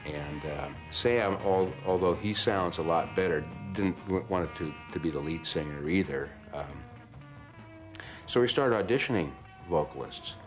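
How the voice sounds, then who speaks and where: Very deep-voiced man, hesitant. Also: moderate pace, highs cut off, soft background music. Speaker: band member, interviewee. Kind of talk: documentary interview.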